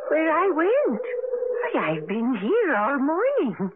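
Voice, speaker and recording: A woman's voice: sits high, wildly swooping pitch, slow, phone-quality sound, heavily acted. An actress in a radio drama playing an elderly, folksy, forgetful and hospitable village woman who draws out her exclamations, on a noisy old broadcast recording. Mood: folksy, puzzled.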